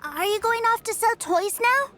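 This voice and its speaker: A woman voicing an innocent, excitable little boy who adores his big brother, naive and earnest, in a video game. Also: very high voice, normal speed. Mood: innocent, curious. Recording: music playing behind the voice.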